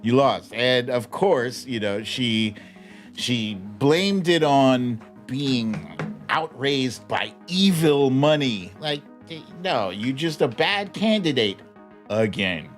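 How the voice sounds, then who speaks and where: Man with animated, swooping pitch hosting commentary. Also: takes it slowly, faint music behind. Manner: informal, wry, chuckles often while talking. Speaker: live show host. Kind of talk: casual comedic political news commentary livestream.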